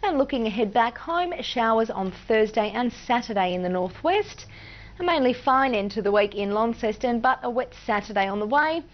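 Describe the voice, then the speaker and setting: Female news and weather presenter, Australian, higher register, scripted delivery with easy banter, slightly lo-fi sound. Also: expressive, moderate pace. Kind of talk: scripted newsreading with light banter.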